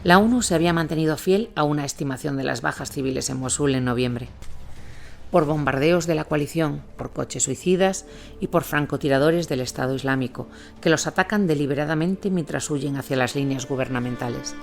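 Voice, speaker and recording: A man's voice: a little high, brisk, vocal fry. A man narrating scripted war reportage in a read, journalistic style. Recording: music bed under voice.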